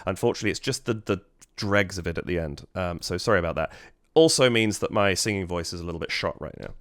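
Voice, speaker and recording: A man's voice: low-pitched, expressive, fast, fairly bright tone. A man hosting a reaction video, slightly stuffy from a cold, thinking aloud with admiring, casual music commentary. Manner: admiring, thinks aloud, hums melody lines.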